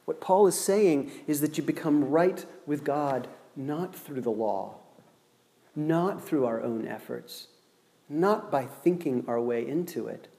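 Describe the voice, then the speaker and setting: A man preaching a sermon, slow and deliberate, with dramatic pauses between words. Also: fairly high pitch, lively intonation, creaky, mellow. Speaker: pastor. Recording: long hall reverb.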